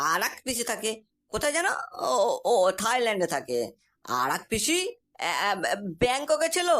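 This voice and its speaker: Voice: mid-range voice, a bit bright. An actor voicing a boastful, cocky young man in a comic cartoon, talking in a breezy, insistent, colloquial dialect. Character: boastful, cocky young man exaggerating to impress his friend's prospective father-in-law.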